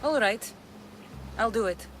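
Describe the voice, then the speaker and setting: An actress playing a tough young mercenary in a video game, speaking in a blunt, wary, wry way. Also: female voice, a little high, animated, unhurried, a little hiss or hum. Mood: skeptical, businesslike. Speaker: video game character actor. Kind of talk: dramatic video game dialogue. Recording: music playing under the dialogue.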